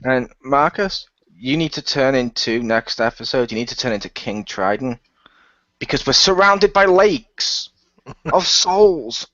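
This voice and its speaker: A man of middling pitch chats and jokes casually as a radio play co-host. His intonation swings a lot, and the dry recording sounds slightly muffled. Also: animated, unhurried, fairly bright tone, dry recording. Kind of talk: casual joking chat.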